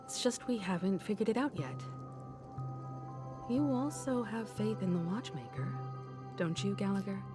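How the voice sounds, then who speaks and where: A woman's voice: mid-range voice, moderate pace, long pauses, lightly acted. Actress as earnest, resolute idealist woman, music behind. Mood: earnest conviction. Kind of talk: scripted game voice acting. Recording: music behind, game stream.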